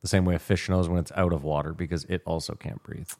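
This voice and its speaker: Male podcast co-host, very low pitch, dry and needling. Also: steady tone, quick pace, a little mellow. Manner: dry, teasing, argumentative. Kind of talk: casual chat.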